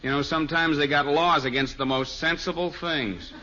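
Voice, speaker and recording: An actor playing a dry, joking husband and family friend in an old-time radio sitcom, casual in manner. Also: male voice, on the high side, moderate pace, close to an everyday voice. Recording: muffled, noisy old radio recording.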